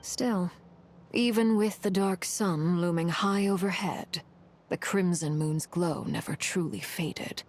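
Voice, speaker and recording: A composed noblewoman warrior in a fantasy video game. Her voice is on the low side, and she speaks formally, with solemn, measured authority. Faint noise sits behind her. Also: a little mellow. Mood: measured, explanatory. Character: composed, commanding woman harbinger with cursed blood fire. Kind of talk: dramatic video game voice acting.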